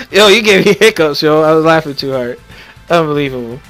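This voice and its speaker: A man's voice: a little high, expressive, normal speed, a bit bright, faint music behind. A young man with a Haitian accent hosting a casual gaming podcast, joking and rambling with lots of restarts. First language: Haitian Creole or French. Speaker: podcast host.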